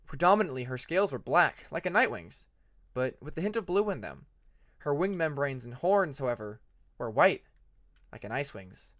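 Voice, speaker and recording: A man narrating a fantasy story like an audiobook, in an expressive, dramatic manner. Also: a little high, moderate pace. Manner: expressive, acts out dramatic passages. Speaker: fan fiction narrator.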